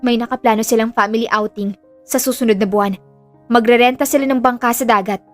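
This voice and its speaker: A woman's voice: fairly high pitch, fairly even intonation, brisk, natural voice. A woman playing an earnest, burdened young woman in an audio drama, confiding in a subdued way with a Filipino accent. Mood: grave, resolved. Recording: music under the voice.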